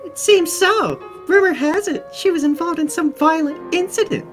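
A woman's voice: extremely high pitch, moderate pace, soft background music. A woman voicing a dramatic, gossipy schoolgirl in a comic dub, girlish and exclamatory.

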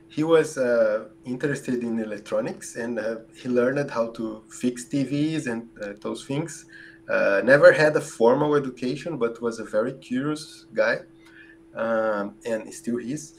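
A Brazilian-accented man in his forties answers interview questions slowly and hesitantly, with many "uh"s and restarts. His pitch sits in the middle for a man. A faint hum runs under his boom-arm mic. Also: creaky. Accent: Brazilian Portuguese. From southern Brazil. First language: Portuguese. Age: 40s. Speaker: guest. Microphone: large studio mic on a boom arm.

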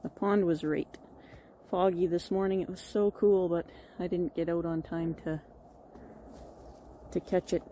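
Mellow middle-aged Canadian woman, French native speaker. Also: fairly low pitch, steady tone, unhurried, long pauses. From Quebec, Canada, raised partly in Ontario. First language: Quebec French. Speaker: vlogger. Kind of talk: casual chat to camera. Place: outdoors in a field, yard and open shelter. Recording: outdoor ambience, clear background noise.

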